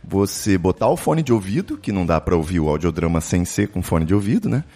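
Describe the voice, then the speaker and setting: A man's voice: low voice, sing-song, brisk, dark tone. A man with a Brazilian accent hosting a casual interview podcast in an informal, opinionated, conversational way. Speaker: podcast host.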